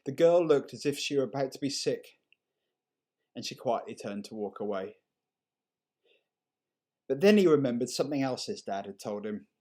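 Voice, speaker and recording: A man reading a short story aloud in an unhurried, measured and expressive storytelling manner. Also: medium pitch. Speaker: story reader. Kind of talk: dramatic short story narration.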